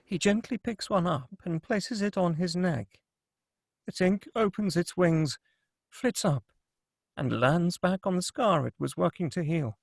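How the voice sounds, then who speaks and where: Gravelly male narrator, higher-pitched voice. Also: unhurried, no echo, clean studio sound. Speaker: narrator reading stage directions. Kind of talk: dramatic scripted narration at a script table read.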